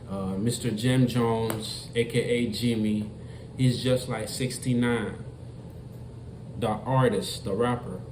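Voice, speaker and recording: Emphatic man in an echoey room. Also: fairly low pitch. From Florida. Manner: repetitive, emphatic, talks straight to viewers. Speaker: commentary vlogger. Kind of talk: casual commentary monologue. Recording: echoey room with faint noise.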